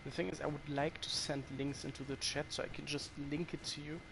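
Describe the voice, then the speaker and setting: A man's voice: on the high side, flat delivery, a little fast, vocal fry, background noise. A man with a German accent chatting casually to his viewers while he plays, swearing when the game frustrates him. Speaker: streamer. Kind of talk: casual gameplay commentary to chat.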